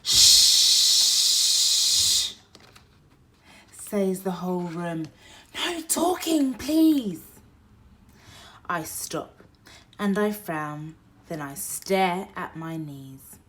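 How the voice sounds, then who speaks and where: A woman reading a picture book aloud for toddlers, expressive, moving between hushed whispers and loud exclaims. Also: lowish voice. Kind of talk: read-aloud bedtime story for toddlers.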